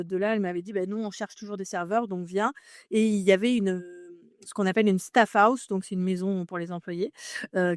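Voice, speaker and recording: A woman's voice: medium pitch, a little mellow, no room sound, clean studio sound. A woman from France in her thirties, a podcast guest telling her story in a chatty way with many fillers and restarts. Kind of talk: casual interview conversation.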